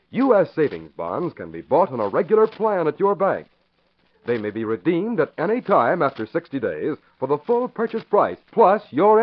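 An American man delivering scripted narration and announcements as an emphatic 1940s radio announcer. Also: fairly high pitch. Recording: dull vintage radio recording.

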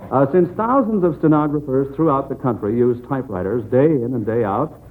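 A man's voice: medium pitch, brisk, slight background noise. An American man hosting a 1940s radio quiz in a folksy, encouraging manner. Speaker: quizmaster of a children's quiz show. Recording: muffled old narrow-band radio recording.

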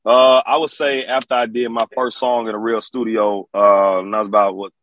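A man's voice: on the low side, little pitch movement, moderate pace, a bit bright. A man from Memphis with a Southern accent, a rapper casually telling stories as an interview guest, peppering his talk with slang and repeated filler phrases. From Memphis, Tennessee. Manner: repetitive, slang-peppered, frequent "you know".

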